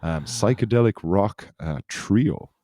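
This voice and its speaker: A man's voice: lowish voice, lively intonation, slow pace, mellow, dry recording. A man hosting a podcast, telling a loose, rambling story in casual chat. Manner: rambling, loose storytelling that circles back on itself. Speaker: podcast host.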